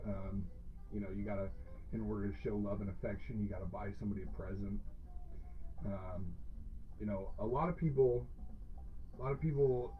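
A man's voice: on the low side, normal speed, pauses often, noisy background. Effusive man on a dull lo-fi mic. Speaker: host of a hot sauce review show. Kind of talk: casual livestream chat to viewers.

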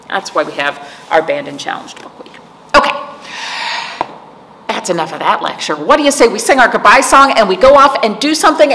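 An American woman in her forties with a creaky voice, a storytime host explaining things to young children in simple, teacherly words and questions. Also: fairly high pitch, a bit bright. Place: indoor room, seated. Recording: echoey room with background noise.

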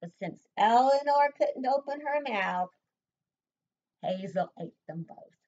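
A woman's voice: a little high, slow pace. Older woman reading a storybook aloud. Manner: expressive read-aloud, asks children questions. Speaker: preschool teacher. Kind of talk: reading a picture book aloud to children. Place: home room, indoors. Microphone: webcam at desk. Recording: dull lo-fi webcam audio.

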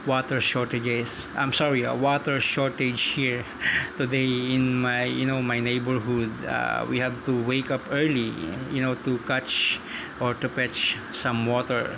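A man's voice: medium pitch, level tone, measured pace, gravelly, noisy background. A Filipino man, native in Tagalog, talking casually and politely with hesitations as he demonstrates an app. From Philippines. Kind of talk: casual tutorial talk and radio-style chat.

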